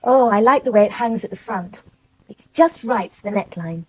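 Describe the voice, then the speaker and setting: An actress playing an affectionate, playful young granddaughter, speaking softly in a radio drama. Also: female voice, mid-range voice, lively intonation, normal speed, husky. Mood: affectionate, pleased. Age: young adult. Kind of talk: naturalistic radio drama acting. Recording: 1980s radio drama broadcast.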